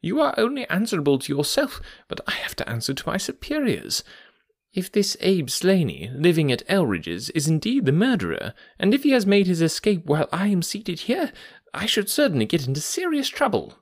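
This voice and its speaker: A man's voice: sits high, huge pitch swings, moderate pace, no echo, clean studio sound, pitched above the performer's own voice, full character voice. A man voicing an anxious, incredulous police inspector in an audiobook story, fretful and protesting. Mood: uneasy.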